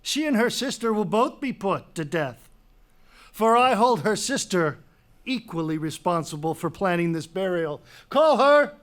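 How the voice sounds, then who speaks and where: A man's voice: sits high, big pitch swings, a little slow. Stern king, imperious, proud, interrogating, authoritarian, Greek tragedy dramatic reading. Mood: scornful authority. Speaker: actor.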